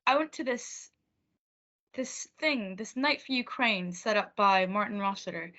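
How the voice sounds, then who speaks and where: A woman's voice: mid-range voice, animated, a little slow, pushed and bright. A teenage American woman from Oregon, a singer chatting casually as an interview guest and laughing often. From Oregon, USA. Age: teens. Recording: dull lo-fi audio.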